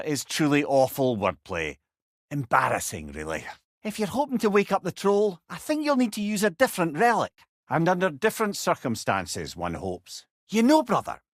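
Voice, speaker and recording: A man's voice: a little high, fairly bright tone, dry recording, studio quality. An older man with a Scottish accent playing a wry, eloquent old companion in a video game. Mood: brisk, animated. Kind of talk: video game character dialogue.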